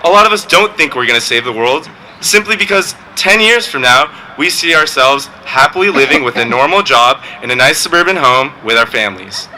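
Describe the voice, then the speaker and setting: A man's voice: mid-range voice, fairly quick, a little hiss or hum, low-quality recording. Teen boy giving a joking graduation speech. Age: teens. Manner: joking, deadpan delivery. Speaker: valedictorian. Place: outdoor graduation ceremony.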